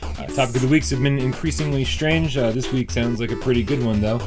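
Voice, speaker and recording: A man's voice: mid-range voice, fairly even intonation, fairly quick. Rambling male podcast host over music. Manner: stumbling, repeats words, many fillers. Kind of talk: casual podcast chat.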